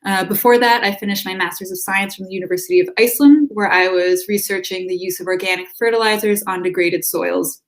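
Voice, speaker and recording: A woman scientist in her thirties presenting her work over a video call in a friendly, clear way. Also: mid-range voice, steady tone, brisk, hardly any pauses. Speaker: soil scientist guest.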